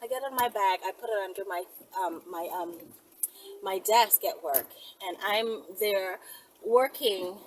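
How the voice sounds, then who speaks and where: A woman's voice: medium pitch, measured pace. A woman chatting casually to viewers in a rambling vlog, with a brash, playful, foul-mouthed manner. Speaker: video creator. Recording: distant mic with clear background noise.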